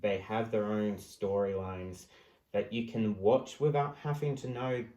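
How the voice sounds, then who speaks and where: A man with a fairly low voice speaks in an echoey room. He explains his points casually as a co-host in a podcast discussion. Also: steady tone, a little slow, warm tone.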